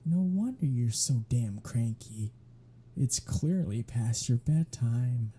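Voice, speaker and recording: A sardonic vampire predator, acted out by a performer in an ASMR audio drama, teasing and unrepentant. The voice is very husky and breathy, with a dark, soft tone. The words come slowly and casually, with swearing mixed in. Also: mid-range voice, lively intonation. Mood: teasing, fond. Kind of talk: ASMR roleplay audio drama, one-sided dialogue.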